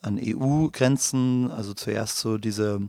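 A man in his thirties talks as a studio podcast guest, explaining at length with many fillers and restarts. His voice is low and flat in pitch. The recording is dry and close. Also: slow pace, gravelly, no echo. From Germany. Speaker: guest, dramaturg and human rights lecturer. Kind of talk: casual interview chat.

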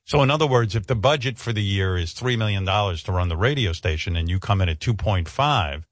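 A man's voice: on the low side, expressive, fairly quick, no room sound. A male radio host in casual, profane banter, indignant and often laughing.

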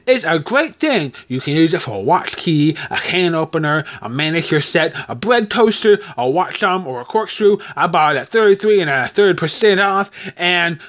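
Man putting on a high-pitched, gushing country landlady. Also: animated, moderate pace, hardly any pauses, fairly bright tone. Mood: flustered, eager. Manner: rustic ungrammatical speech. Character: gushing, folksy middle-aged landlady, coy and easily flustered.

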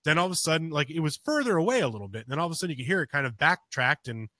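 A man's voice: fairly high pitch, lively intonation, rapid-fire, dry recording, studio quality. A man co-hosting a podcast, telling a personal story casually, rambling with many restarts and fillers. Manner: rambling, full of restarts and "you know"; gets louder and more urgent as the story builds. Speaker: podcast co-host.

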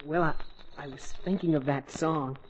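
An actor in a 1940s radio comedy playing an excitable, lovestruck teenage schemer who talks in slangy exclamations. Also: mid-range voice, moderate pace, lo-fi sound, a little hiss or hum, lightly acted. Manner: exclamatory, slangy, argues with himself. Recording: old 1940s radio broadcast recording.

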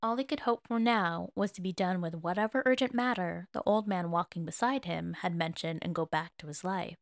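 A woman reading scripted fiction as narrator, in a measured, expressive manner. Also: lowish voice, no room sound, studio quality. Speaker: narrator, fan fiction reader.

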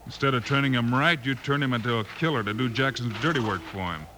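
A man playing a steady, heroic lawman in a radio western, speaking American English with firm authority. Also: mid-range voice, fast, noisy background, natural voice. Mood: stern, explaining. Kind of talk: 1950s radio western acting.

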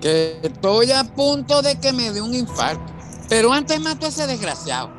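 A man playing a gruff, threatening old Venezuelan rancher father in a radio soap opera. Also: very high-pitched, sing-song, normal speed, a bit bright, faint music behind, full character voice. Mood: grudging, threatening. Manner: gruff, cursing, bossy.

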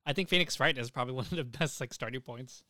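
A man's voice: medium pitch, fast talker, bright, forceful tone, no echo, studio quality. A man hosting a casual podcast, talking enthusiastically and swearing freely as he goes. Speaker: podcast host.

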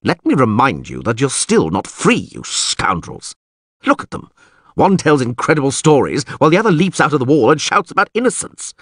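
A hot-tempered middle-aged mayor, voiced by a male narrator, blustering and bellowing at everyone in reach. His husky voice swings from low grumbling up to strained shouts. The sound is dry and close. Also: huge pitch swings, normal speed, no room sound. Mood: stern, mocking.

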